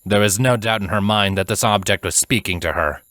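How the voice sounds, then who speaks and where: Guy narrating a fantasy story, lowish voice, measured n dramatic, dry close sound. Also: fairly bright tone, dry recording. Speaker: fan fiction narrator.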